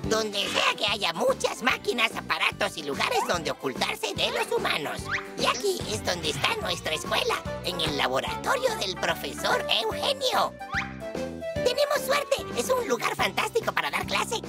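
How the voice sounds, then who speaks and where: A woman's voice: on the high side, huge pitch swings, normal speed, over loud music. A woman voicing an old male teacher figure in a dubbed cartoon, fussy and teacherly. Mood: explaining. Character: kindly old male tiny robot teacher. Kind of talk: cartoon dub.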